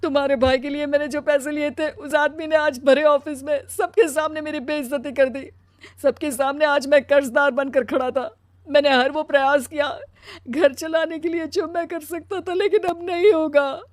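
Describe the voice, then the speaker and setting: A woman's voice: on the high side, lively intonation, moderate pace, no room sound, close to an everyday voice. A woman voicing a mild, anxious, put-upon working husband in a cartoon story, with a plaintive, weary manner. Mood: distraught. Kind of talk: animated cartoon story dialogue.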